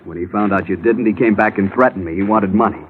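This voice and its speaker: Fast-talking, nervous radio schemer played by a man. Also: on the low side, natural voice. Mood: anxious confession. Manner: polite, earnest, defensive. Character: outwardly polite male schemer, nervous, pleading, lovestruck. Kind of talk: old-time radio crime drama acting. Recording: tinny, hissy old radio recording.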